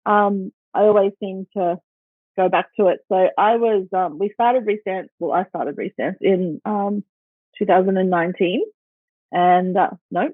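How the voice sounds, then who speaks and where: An Australian woman in her forties speaks as a podcast guest, easygoing and laughing often. Her speech is full of ums and pauses. The remote recording sounds tinny and phone-like, with a dry sound. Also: medium pitch, fairly even intonation, no room sound. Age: 40s. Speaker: guest, dance studio owner. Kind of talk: casual interview chat.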